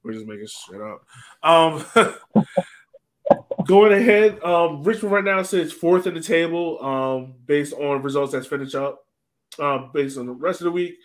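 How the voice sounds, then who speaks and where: Higher-voiced man hosting casually. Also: lively intonation, unhurried. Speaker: podcast host. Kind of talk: casual sports podcast hosting. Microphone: video call.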